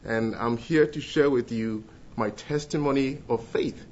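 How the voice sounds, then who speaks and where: A man's voice: mid-range voice, a little mellow. Ghanaian man, middle-aged, earnest church testimony, muffled recording. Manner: earnest, with filled pauses and restarts. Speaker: guest speaker giving testimony.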